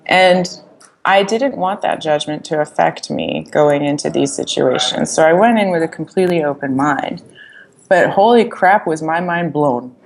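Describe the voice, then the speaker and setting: A woman in a casual podcast interview, sharing her experiences and advice with emphatic, motivational energy. Her voice sits low and carries a gravelly edge. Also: moderate pace, a little hiss or hum. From Iran, raised in the US. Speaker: startup founder, interview guest.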